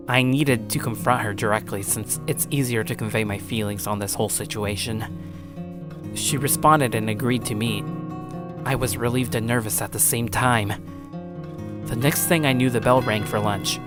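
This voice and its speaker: A man's voice: medium pitch, steady tone, normal speed, over music. A man narrating as host, reading a scripted first-person story and promotional copy.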